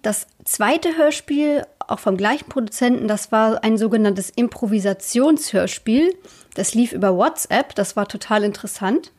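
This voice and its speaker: A woman's voice: fairly high pitch, expressive, moderate pace, a little mellow. A woman giving a casual interview as a guest, speaking enthusiastically while feeling her way through her phrases.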